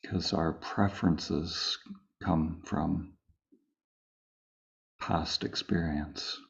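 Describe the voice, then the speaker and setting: Very slow, low-voiced man guiding meditation. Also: gravelly, muffled recording. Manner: calm, unhurried, with long pauses between phrases. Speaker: meditation teacher. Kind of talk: slow guided meditation, spoken live.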